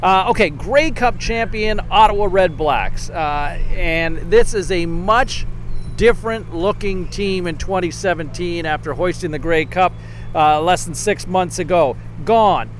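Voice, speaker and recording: A man hosting a football podcast, joking and energetic as he sets up segments, seated outdoors on a bench by a seawall. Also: high voice, a little slow. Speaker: podcast host. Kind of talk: casual sports podcast hosting. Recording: faint outdoor traffic noise. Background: faint traffic.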